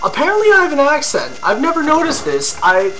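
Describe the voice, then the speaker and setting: Casual young man vlogging at home, bright tense voice, faint mains hum under a webcam mic. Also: extremely high pitch, huge pitch swings, fairly quick, poor audio. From Ontario, Canada. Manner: rambling, lots of ums and likes, crude jokes. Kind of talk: casual rambling video blog.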